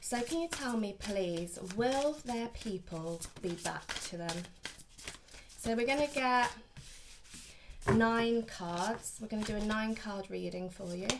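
A woman in her thirties talks casually to camera as she reads tarot in a room at home. Her voice sits at an average pitch for a woman and sounds slightly sniffly. She speaks informally and chattily, addressing listeners directly with reassuring asides. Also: slow, noisy background. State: slightly sniffly from hay fever. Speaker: tarot reader, host. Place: small furnished room at home, indoors.

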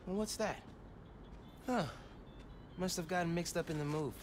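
An actor playing an easygoing teenage boy hero in a video game, with relaxed, casual city-kid talk. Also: high-pitched, moderate pace, a little hiss or hum, natural voice. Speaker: game character.

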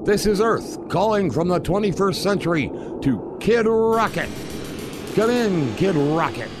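Male announcer for retro radio serial, dramatic and animated, unhurried, noisy background. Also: sits high. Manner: dramatic, theatrical. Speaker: announcer and narrator. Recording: clear background noise under voice.

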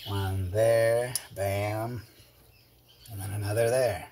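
Chatty low-voiced man in his garage. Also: fairly even intonation, slow pace, warm tone, a little hiss or hum. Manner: rambling, chatty, self-deprecating with fillers. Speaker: garage video host. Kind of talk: casual workshop how-to narration. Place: cluttered home workshop garage.

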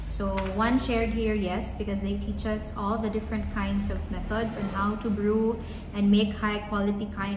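A young Filipino woman with a Filipino accent, a coffee business trainer talking casually to camera and reading feedback aloud, often adding a tagged "no?". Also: medium pitch. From Philippines. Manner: chatty, adds tag "no?" often. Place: large cafe, indoors. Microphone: camera close in front. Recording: roomy cafe with long echo, background noise.

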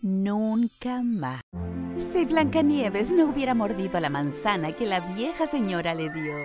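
A woman narrating a scripted children's story with an expressive storyteller's delivery. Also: medium pitch, measured pace, over loud music.

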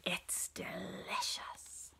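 A woman voicing an enormous male crocodile in a children's story, sly and menacing, drawing out words with greedy relish and breathy hisses. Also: extremely slow, bright, forceful tone, whispered. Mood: sly delight.

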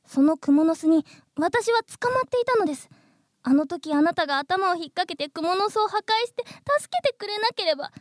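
A woman's voice: extremely high pitch, expressive, fairly quick, no room sound, clean studio sound. A woman voicing a polite, insistent, earnest mosquito in human form in a radio comedy drama. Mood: grateful, eager.

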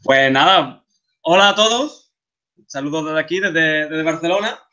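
A man in his thirties with an Andalusian Spanish accent, giving a relaxed, chatty talk over slides, with frequent restarts and fillers. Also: on the high side, measured pace, muffled recording. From Seville, Spain. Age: 30s. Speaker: guest speaker, game artist.

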